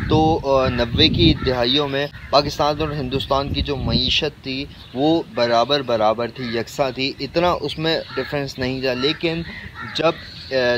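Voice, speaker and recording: A man with a Pakistani accent gives an informal explainer to viewers, speaking haltingly and often pausing mid-sentence. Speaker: video host. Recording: clearly noisy, vehicle-like background rumble.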